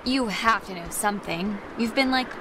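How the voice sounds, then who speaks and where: A woman's voice: mid-range voice, normal speed, lightly acted. An American woman playing a timid, worried, apologetic teenage girl in a video game. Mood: awkward. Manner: hesitant. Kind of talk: naturalistic video game dialogue. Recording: noisy background ambience.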